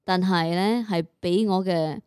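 A woman's voice: fairly low pitch, fairly even intonation, unhurried, darkish tone, smooth, no room sound, clean studio sound. A woman with a Singaporean accent chatting and joking as a podcast host, switching between English and Chinese dialects. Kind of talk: casual bantering chat. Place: small dry recording studio.

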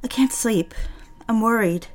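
An actress playing a desperate, obsessive mistress in an audio drama, with a needy, confessional manner. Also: female voice, a little high, measured pace, lightly acted. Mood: anxious pleading. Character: desperate, obsessive mistress pleading for her lover's attention.

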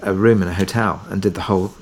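A man's voice: low-pitched, fairly even intonation, fast talker, husky. A man talking casually as an interview guest, telling production anecdotes in a conversational way and thinking aloud as he goes.

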